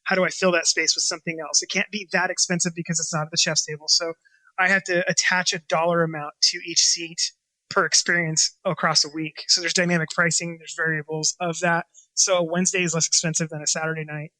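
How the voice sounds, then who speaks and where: Bright, quick-talking man, chatty and rambling. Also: fairly high pitch, flat delivery, no echo, low-quality recording. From Washington State, USA. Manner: rambling, many fillers and restarts. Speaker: chef and restaurant owner. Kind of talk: casual interview talk.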